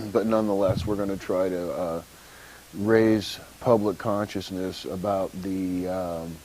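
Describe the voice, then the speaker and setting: A man talking slowly and haltingly on an activist talk show, with many pauses and fillers. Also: fairly low pitch, darkish tone, a little hiss or hum, poor audio. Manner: slow, halting, many uhs and ums.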